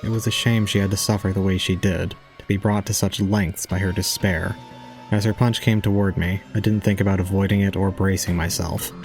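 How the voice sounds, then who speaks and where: A man playing a detached, resigned young student, narrating solemnly and calmly in an audio drama. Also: low voice, brisk, soft background music. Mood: solemn, pitying. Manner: solemn, calm, unemotional. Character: detached, resigned young male student recounting his own ordeal. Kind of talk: scripted first-person audio drama narration.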